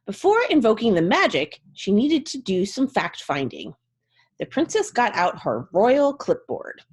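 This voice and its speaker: Very animated, husky woman storyteller. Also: mid-range voice, measured pace. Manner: theatrical, expressive. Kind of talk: dramatic storybook narration.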